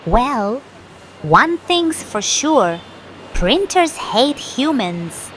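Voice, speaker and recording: Woman as Max, a high, swooping puppet interviewer. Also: very animated, very slow, a bit bright, a little hiss or hum, exaggerated voice. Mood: eager. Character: eager megaphone puppet street interviewer named Max. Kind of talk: children's puppet show presenting.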